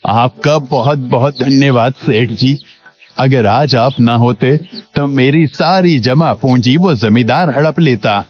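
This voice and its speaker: A man's voice: mid-range voice, slow, close to an everyday voice. A man playing a humble, wronged villager in a cartoon moral story, plaintive and respectful in his manner. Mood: grateful. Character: humble, wronged, grateful villager cheated of savings. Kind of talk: Hindi animated moral story acting. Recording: dull lo-fi sound, faint music bed.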